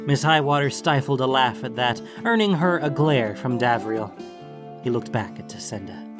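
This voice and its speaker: Guy narrating a fantasy audiobook, normal pitch, bit of music under him. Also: animated, normal speed.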